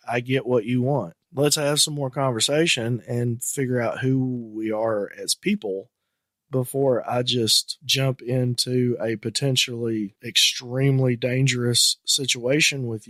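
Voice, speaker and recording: A man's voice: mid-range voice, unhurried, fairly bright tone, dry recording. Earnest man hosting a podcast. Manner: earnest, emphatic, gets heated on the topic. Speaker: podcast host. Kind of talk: casual podcast discussion.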